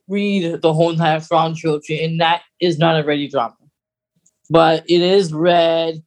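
A man co-hosting a casual fan discussion podcast, explaining details in a halting way. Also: on the high side. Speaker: podcast co-host.

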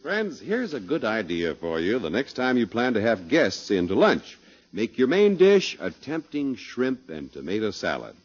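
A man announcing in a polished, emphatic 1950s radio style, reading scripted ad copy and narration. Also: breathy edge. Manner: polished, emphatic sales pitch. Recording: dull old radio recording.

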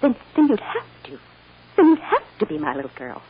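A woman's voice: high-pitched, wildly swooping pitch, a little fast, husky, full character voice. An actress playing a loving, reassuring American mother soothing her young daughter in an old-time radio drama. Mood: gently explaining. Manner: tender, reassuring, emotionally earnest. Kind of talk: 1940s-50s American radio drama acting. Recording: dull, noisy old radio recording.

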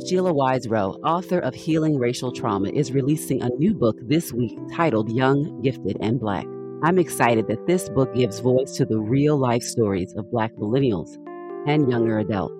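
A man's voice: medium pitch, lively intonation, normal speed. A man reading a scripted podcast promo as an announcer. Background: guitar music.

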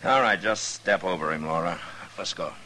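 An actor playing a stern, steady frontier marshal with an American accent in a 1950s radio western, terse and firm. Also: male voice, low voice, moderate pace, poor audio. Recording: hissy vintage radio recording.

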